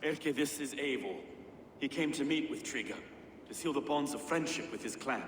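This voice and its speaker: An actor playing an earnest, pious reeve of ninth-century London in a video game, speaking in courteous, folksy phrases. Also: male voice, medium pitch, normal speed, slight background noise, lightly acted. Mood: grave. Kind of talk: video game cutscene acting.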